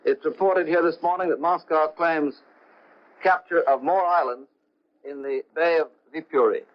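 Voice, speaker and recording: Dull-sounding 1940s male radio correspondent, higher-pitched. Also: normal speed. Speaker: overseas radio news correspondent. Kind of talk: 1940s radio news report. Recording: dull, lo-fi 1940 shortwave radio broadcast.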